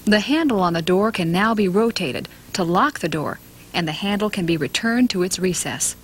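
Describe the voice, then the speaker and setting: A woman reading scripted instructional narration for a training film in a measured, matter-of-fact way. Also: on the low side, expressive, husky.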